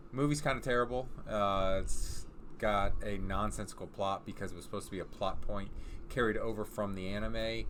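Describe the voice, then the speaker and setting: A man's voice: deep voice, a bit bright, a little hiss or hum. A man hosting a casual, unscripted countdown video at home, rambling and correcting himself as he goes. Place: room at home.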